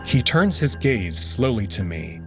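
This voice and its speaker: Man, visual novel narrator, animated delivery, unhurried, background music. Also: mid-range voice.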